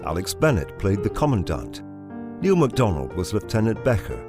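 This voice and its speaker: A male announcer with a fairly low voice and lively intonation. He reads scripted credits while piano music plays clearly behind him. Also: moderate pace.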